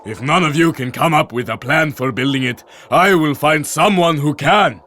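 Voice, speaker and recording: A man's voice: on the high side, normal speed, bright, forceful tone. A man playing an imperious, vain pharaoh, declaiming pompously in a comic audio drama. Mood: impatient, pompous. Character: imperious, vain Egyptian pharaoh demanding grandeur. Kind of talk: comic audio theatre acting. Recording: clear background noise and ambience.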